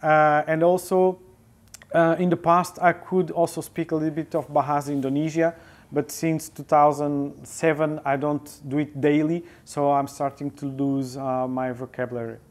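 A man's voice: a little high, flat delivery, normal speed. A man in his forties with a Portuguese accent, answering interview questions thoughtfully with many hesitations, on a lapel mic in a studio. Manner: thoughtful, frequent filler hesitations. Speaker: interview guest, photojournalist. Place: indoor studio with sofa.

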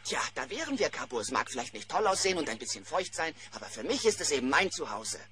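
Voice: mid-range voice, sing-song, quick pace, sharp tone. An actor playing an eccentric, laid-back artist bear with a breezy, theatrical manner in a children's cartoon audio drama.

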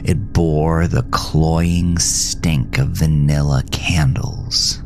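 A man narrating a scripted first-person horror story in a brooding, deliberate, dramatic manner. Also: a bass voice, steady tone, a little mellow. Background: music.

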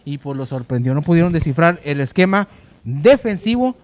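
A man co-hosting a sports show, giving a news round-up in a halting, hesitant way with repeated words. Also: fairly high pitch, big pitch swings, darkish tone. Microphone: handheld microphone, masked speaker.

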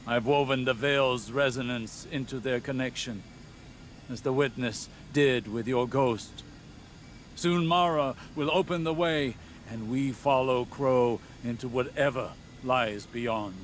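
A man's voice: mid-range voice, slight background noise, lightly acted. A man voicing a grave hologram leader. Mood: solemn. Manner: slow, measured, solemn delivery. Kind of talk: video game voice acting.

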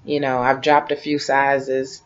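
A woman in her late forties chatting casually to camera from her living room couch, relaxed and rambling, repeating herself as she talks. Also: very deep voice, unhurried. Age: 40s. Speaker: vlogger. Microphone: webcam. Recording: dull lo-fi webcam audio.

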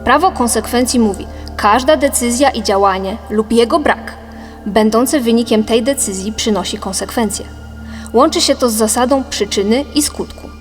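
A woman reading a scripted philosophical audiobook in a measured, didactic manner. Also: a little high, lightly acted. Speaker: audiobook narrator. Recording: long reverb, music behind. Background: music.

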